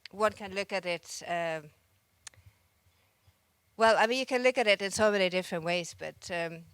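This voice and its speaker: A woman's voice: mid-range voice, moderate pace, fairly bright tone, clean tone, no room sound. A woman from Finland with a Finnish accent, an artist giving a reflective conference talk and often searching for words. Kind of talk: conference presentation. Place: conference hall stage.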